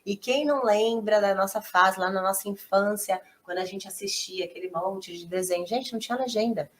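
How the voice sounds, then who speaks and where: A woman's voice: medium pitch, brisk. A woman with a Brazilian accent, an English teacher who explains step by step in an encouraging, casual way. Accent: Brazilian Portuguese.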